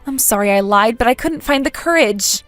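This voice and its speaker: A woman's voice: fairly high pitch, animated, fairly quick, a bit bright, dry recording, soft background music. A woman playing a sociable but nervous, lovesick schoolgirl in a manga dub, with an emotive, hesitant delivery. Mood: nervous confession. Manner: emotive, self-doubting, hesitant. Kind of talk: dramatic manga dub narration and dialogue.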